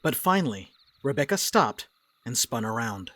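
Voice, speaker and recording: A woman's voice: rumbling low, very animated, moderate pace, fairly bright tone, dry recording. A woman reading scripted narration and stage directions for a dramatic reading.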